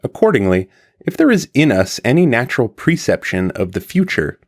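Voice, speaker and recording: A man reading an archaic philosophical text aloud in a formal, measured and deliberate manner, like an audiobook narrator. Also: medium pitch, big pitch swings.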